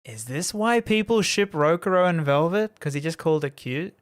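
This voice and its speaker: Animated, higher-pitched man commenting on a game. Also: moderate pace, smooth. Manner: chatty, amused. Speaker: gaming streamer.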